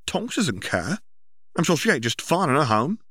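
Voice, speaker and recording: A man's voice: on the high side, big pitch swings, normal speed, a bit bright, slightly rough, dry recording, studio quality, voice pushed up, full character voice. A man voicing a protective, earnest older brother, a young man, in a story reading. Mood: earnest, firm.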